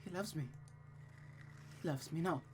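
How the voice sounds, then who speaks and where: A woman's voice: deep voice, moderate pace, a little hiss or hum, pitched above the performer's own voice, close to an everyday voice. A woman voicing a frantic, unhinged and terrified young woman from a visual novel, with a pleading manner. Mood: giggly, unhinged.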